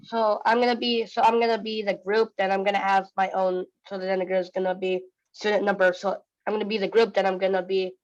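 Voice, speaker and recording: A woman's voice: mid-range voice, flat delivery, fairly quick, hardly any pauses, no echo, lo-fi sound. A female student actor at a table read, speaking in a polite, deferential way.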